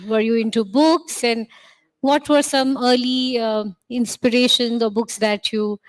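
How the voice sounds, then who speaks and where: A woman with a slightly raised pitch leads a festival stage interview. She asks gentle, encouraging questions and often pauses on fillers as she phrases them. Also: fairly even intonation, poor audio. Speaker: moderator.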